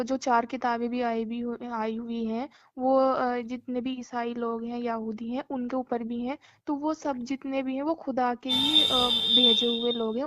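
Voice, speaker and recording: High-pitched, even-toned young woman caller. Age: young adult. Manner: earnest, polite but persistent. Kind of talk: informal phone conversation. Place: phone call. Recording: dull lo-fi phone call recording.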